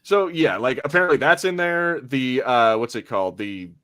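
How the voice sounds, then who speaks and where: A man's voice: mid-range voice, expressive, moderate pace, pushed and bright. A man hosting a podcast in casual fan chat, informal and sweary, thinking aloud as he goes. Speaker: podcast host.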